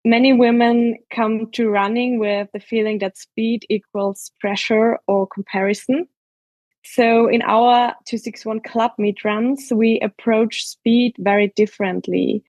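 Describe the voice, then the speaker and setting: A woman with an Austrian accent speaks English as a native German speaker. Her voice is slightly high and she talks slowly and carefully in a podcast interview. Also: fairly even intonation, darkish tone, no echo. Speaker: podcast guest, sports scientist. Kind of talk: careful explanatory interview talk.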